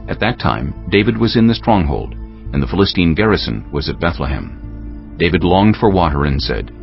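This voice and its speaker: A man narrating dramatized scripture as a solemn, measured storyteller. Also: low voice, big pitch swings, gravelly, highs cut off, soft background music.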